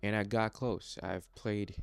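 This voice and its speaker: Earnest deep-voiced young man, halting. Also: steady tone, gravelly, clean tone, no echo. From Democratic Republic of the Congo. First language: Congolese language. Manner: hesitant, many fillers and restarts, earnest. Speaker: vlogger. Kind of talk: motivational personal vlog.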